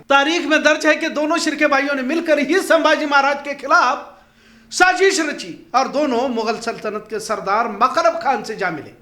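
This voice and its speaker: Roomy echo, guy doing opinion news commentary, really high sharp voice, earnest and emphatic. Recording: echoey, roomy voice recording.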